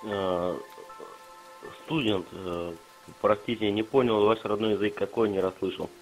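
A man's voice: lowish voice, fairly even intonation, unhurried, soft background music, poor audio. A man on a voice chat politely asking short questions. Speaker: chat participant. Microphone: walkie-talkie voice chat app.

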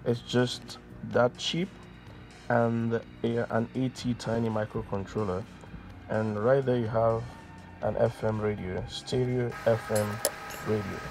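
A man's voice: medium pitch, fairly even intonation, slow pace, gravelly, darkish tone. A young man hosting an electronics channel, talking through a project demonstration in voice-over. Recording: music behind the voice. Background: music, radio playing.

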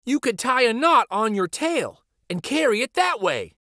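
A man voicing a small, clever young boy in a storybook, with a high, pleading manner. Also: very animated, moderate pace, bright, forceful tone, dry recording, studio quality, heavily acted. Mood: cheeky. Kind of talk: storybook reading.

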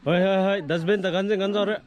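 A man's voice: high voice, steady tone, slow pace, lo-fi sound. A man with a slightly raised voice banters with his friends out in the open. Plenty of other voices chatter around him. He keeps the tone light and joking. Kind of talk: casual chat among friends.

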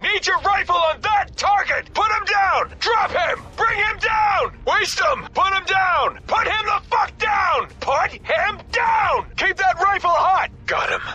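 An actor playing a battle-hardened, foul-mouthed special forces squad leader in terse, commanding combat lines for a video game. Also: male voice, extremely high pitch, animated, takes it slowly, hardly any pauses, sharp tone, raised voice, highs cut off, exaggerated voice. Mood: aggressive.

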